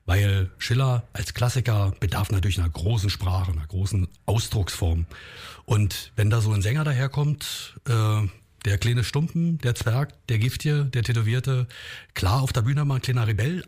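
A man from Berlin with a Berlin accent, a musician guest chatting in a relaxed way with many hesitations. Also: fairly low pitch, normal speed, husky. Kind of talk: interview chat.

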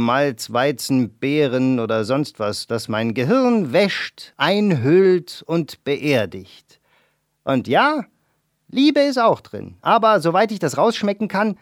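Male book reviewer, theatrical reading voice, very lively pitch, dry close studio sound. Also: no echo. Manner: theatrical, swings between loud bursts and hushed lines. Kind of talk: expressive reading of a novel excerpt and review.

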